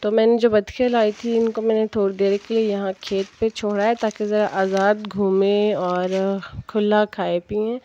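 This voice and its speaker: A woman's voice: mid-range voice, little pitch movement, normal speed, hardly any pauses, mellow, a little hiss or hum. A woman from Kashmir, chatting and explaining to viewers as she narrates her casual village daily-life vlog. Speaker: village vlogger. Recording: dull lo-fi phone recording.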